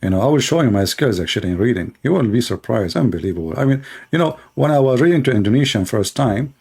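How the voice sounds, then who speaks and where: A man hosting a livestream debate in a mellow, dark-toned voice at an ordinary middle pitch. He rambles sarcastically and mocks, stringing thoughts together with fillers. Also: expressive, fast talker, gravelly, husky. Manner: mocking, rambling, full of fillers.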